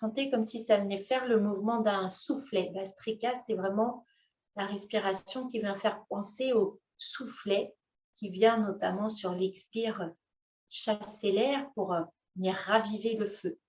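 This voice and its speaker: A woman yoga teacher guiding breathing exercises in a gentle manner, with long pauses and drawn-out phrases. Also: mid-range voice, fairly even intonation, a little hiss or hum. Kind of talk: guided yoga breathing instruction. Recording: echoey room, slightly dull sound.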